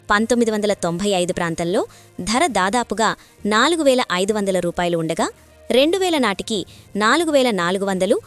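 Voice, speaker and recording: A woman narrating a scripted news report. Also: mid-range voice.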